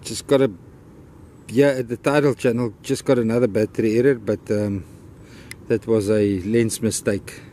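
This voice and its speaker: A man's voice: on the low side, steady tone, normal speed, warm tone, slight background noise. A South African man with an Afrikaans accent, casually narrating a vlog while walking his dog on a beach trail, rambling and grateful. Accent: Afrikaans-accented English. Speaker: vlogger. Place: outdoors on a beach and a wooden boardwalk trail.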